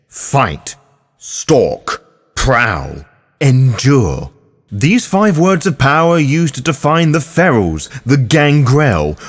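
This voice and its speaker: A man hosting a roleplaying guide, reading scripted explanatory narration in a theatrical, cheeky manner. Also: medium pitch. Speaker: host of a tabletop roleplaying guide.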